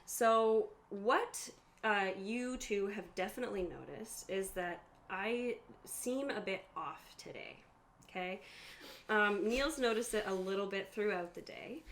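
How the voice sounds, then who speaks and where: A woman's voice: mid-range voice, unhurried. A woman who is getting over a cold, running a tabletop game and explaining the rules to her players. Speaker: game master. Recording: faint room noise.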